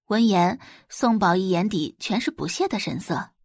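A woman's voice: medium pitch, expressive, moderate pace, a little mellow, husky, dry recording, clean studio sound. A woman narrating a scripted audiobook drama in a storytelling style.

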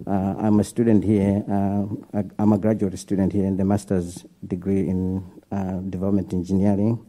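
A young man from Malawi stands up to ask earnest, halting questions after a presentation, filling his pauses with "uh". His voice sits very low and stays flat, with a dark, mellow tone. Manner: hesitant, many "uh" fillers, earnest. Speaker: audience questioner, graduate student. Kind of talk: asking questions after a talk.

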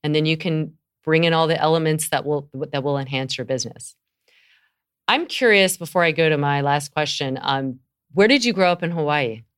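A woman's voice: low-pitched, normal speed, smooth. A middle-aged woman hosting an interview, talking in an enthusiastic, chatty way and laughing easily. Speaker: podcast host, yoga teacher. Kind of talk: conversational interview.

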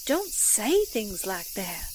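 A woman's voice: mid-range voice, sing-song, slow, background noise. An actress playing a timid, easily spooked newspaperwoman's sidekick in 1940s radio drama style, nervous and faltering.

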